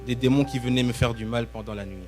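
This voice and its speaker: Young man, preacher from Belgium, mid-range voice. Short, emphatic sermon phrases with pauses, soft instrumental music underneath. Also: mid-range voice, fairly even intonation. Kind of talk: live sermon with pauses for interpretation. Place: church, speaking to a congregation. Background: musical instrument playing.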